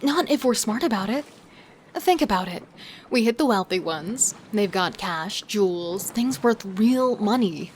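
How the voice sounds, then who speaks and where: A woman's voice: mid-range voice, expressive, a little slow, slight background noise, natural voice. A woman playing a confident, seductive serial killer who schemes and persuades with relish in an audio drama. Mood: persuasive, calculating. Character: cold, thrill-hungry female serial killer, confident and seductive. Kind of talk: audio drama acting with first-person narration.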